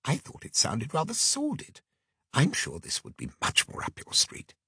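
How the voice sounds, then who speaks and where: A man's voice: fairly high pitch, wildly swooping pitch, moderate pace, sharp tone, husky, dry recording, studio quality, voice pushed up, exaggerated voice. A man voicing a deferential, tactful female secretary in an audiobook. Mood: gently persuasive. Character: brisk, deferential female secretary, Miss Kane. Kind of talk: audiobook character voice.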